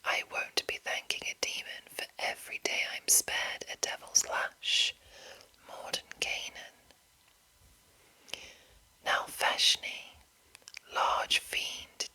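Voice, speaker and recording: Woman doing whispered asmr, super breathy n crisp-edged bright hiss, takes her time. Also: poor audio. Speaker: ASMR host. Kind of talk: whispered ASMR reading of a game manual with casual asides.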